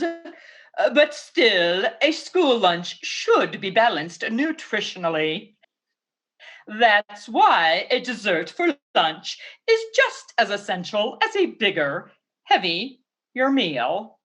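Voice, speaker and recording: A woman's voice: medium pitch, fairly bright tone. A woman speaking as a home economist, carefully reading an instructive 1950s radio sponsor message. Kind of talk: scripted 1950s radio advertisement. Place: radio studio.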